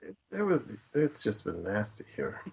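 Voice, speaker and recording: Animated low-voiced woman, dull lo-fi sound. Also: normal speed, gravelly, breathy edge. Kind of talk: casual chat.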